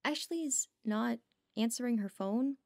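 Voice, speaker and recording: Anxious creaky-voiced werewolf woman voiced by an actress. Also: on the high side, a little slow, darkish tone, no room sound, studio quality. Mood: fearful. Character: anxious female werewolf packmate named Gretchen. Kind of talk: audio drama acting.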